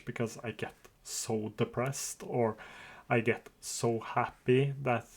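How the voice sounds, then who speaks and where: A man with a Norwegian accent who speaks Norwegian and Farsi natively, hosting his own podcast in an earnest, open and slightly hesitant monologue. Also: medium pitch. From Norway. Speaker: podcast host. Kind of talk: casual solo podcast monologue.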